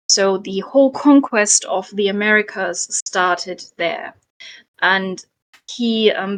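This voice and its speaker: A woman's voice: medium pitch, rough recording. Woman telling history, chatty with ums. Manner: frequent ums and soft laughs. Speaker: guest storyteller. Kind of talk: casual history storytelling.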